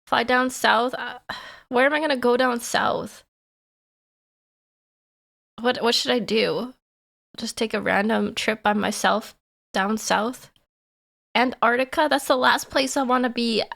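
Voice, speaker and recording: A young woman streams live in a loose, giggly, self-teasing way. A cold leaves her voice hoarse, stuffy and full of gravelly fry, sitting a little above the usual pitch for a woman. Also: fairly even intonation, unhurried, pauses often, fairly bright tone. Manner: playful and giggly, teasing herself. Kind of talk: casual live-stream chat.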